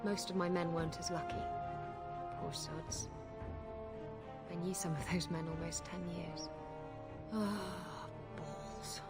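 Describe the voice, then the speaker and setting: A woman's voice: lowish voice, fairly even intonation, slow, long pauses. A woman playing a brash, unrepentant pirate captain in a video game, wry, flippant and defiant. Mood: wistful, matter-of-fact. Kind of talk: video game character dialogue. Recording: loud music behind the voice.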